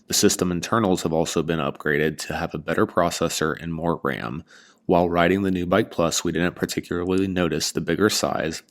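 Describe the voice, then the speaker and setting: A man reading a scripted product review as host, in a measured, informative way. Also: low voice.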